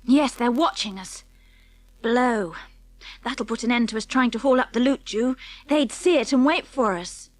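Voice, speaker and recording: A woman's voice: on the high side, a little slow, no room sound, lightly acted. A woman voicing a forthright, sceptical tomboy schoolgirl with an English accent and blunt diction in a children's audiobook. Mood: disappointed. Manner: blunt, old-fashioned British child's diction. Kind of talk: children's audiobook character acting.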